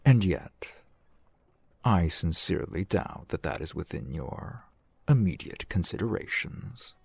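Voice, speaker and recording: Casting: actor for suave male shopkeeper and fence, fantasy ASMR roleplay. Deep, gravelly, measured, wry, recorded close and dry. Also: expressive, darkish tone, dry recording, full character voice. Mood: wry, unhurried. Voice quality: gravelly, husky. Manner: measured, formal, wry, silky wording. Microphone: close microphone, dry sound.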